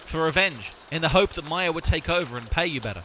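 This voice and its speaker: A man plays a bossy, sarcastic amateur detective in a comic audio drama. His voice sits fairly high and is very bright and forceful. Faint noise runs behind it. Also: lively intonation, quick pace. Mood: questioning, firm.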